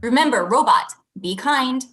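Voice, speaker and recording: A woman's voice: sits high, animated, moderate pace, bright, forceful tone. A young woman playing a polite, patient thirty-year-old businesswoman in a comedy script read over a video call. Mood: restrained. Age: young adult. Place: indoor room at home, video call. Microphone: computer mic on video call.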